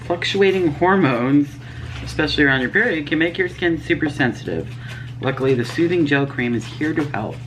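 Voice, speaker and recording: A woman with a strikingly deep voice talks casually through a beauty subscription box. She is a bit sniffly, reading out labels and prices in a chatty way. Steady background noise sits under her voice. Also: brisk, poor audio. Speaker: beauty vlogger. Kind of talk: casual unboxing chat.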